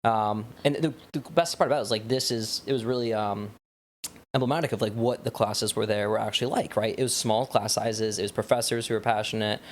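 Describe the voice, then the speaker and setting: A man's voice: lowish voice, steady tone, a little fast, a little hiss or hum. A young American man chatting casually as an interview guest, rambling through stories with lots of "like" and "you know". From Wyoming, United States. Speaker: podcast guest, startup founder. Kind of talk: casual interview chat, storytelling.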